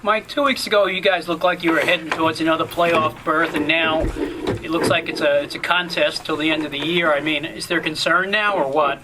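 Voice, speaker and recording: A man's voice: on the high side, steady tone, a little fast. A man working as a sports reporter, putting questions on a press phone call. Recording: phone call with faint noise.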